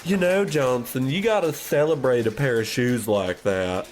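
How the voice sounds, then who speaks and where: A man's voice: medium pitch, normal speed, a little hiss or hum, lightly acted. A man playing a snide, fickle, pushy office coworker in a comic radio play. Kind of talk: comic old-time radio play acting.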